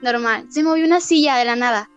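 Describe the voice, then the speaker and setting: A woman's voice: a little high, brisk, highs cut off, music in the background. A young woman talking casually as a podcast guest, chatty and often laughing. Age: young adult. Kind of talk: casual chat.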